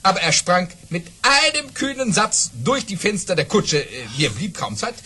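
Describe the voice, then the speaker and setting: An actor playing a boastful baron and officer, telling tall tales grandly and dramatically in for a radio play. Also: male voice, high voice, sing-song, normal speed, sharp tone, breathy edge, full character voice. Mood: excited. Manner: grandiose, dramatic, amused by his own boasts. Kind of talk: theatrical radio play storytelling.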